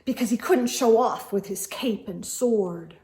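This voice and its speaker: A woman's voice: fairly high pitch, a little slow, dark tone. A woman reading a children's storybook aloud as host, expressively and with emphasis, speaking directly to young listeners.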